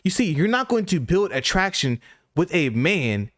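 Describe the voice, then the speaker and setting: A man's voice: high-pitched, very animated, normal speed, a bit bright, no room sound. A man with a slightly raised voice talks bluntly and lectures in slang, as if scolding. The sound is dry and close, with no room around him. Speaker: reaction commentator. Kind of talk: commentary over interview clips.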